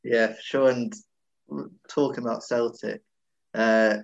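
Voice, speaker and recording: A man's voice: medium pitch, little pitch movement, takes it slowly, creaky, lo-fi sound. A man hosting a sports interview, asking hesitant questions and answering with short affirmations. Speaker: sports podcast host. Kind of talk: interviewer, casual chat.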